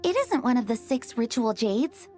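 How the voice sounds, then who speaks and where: An actress playing a polite, earnest young antiques dealer in a video game, with formal, articulate, businesslike delivery. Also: female voice, fairly high pitch, sing-song, moderate pace, darkish tone, faint music behind. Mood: gracious, earnest.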